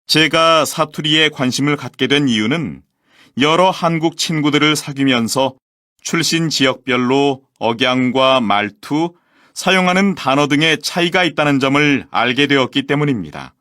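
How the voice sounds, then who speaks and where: A man with a somewhat high voice reads a scripted textbook presentation in a clear, measured and formal way. The recording is close and dry, with no room sound. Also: animated, bright, forceful tone, no echo. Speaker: textbook audio voice actor. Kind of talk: scripted language-lesson reading.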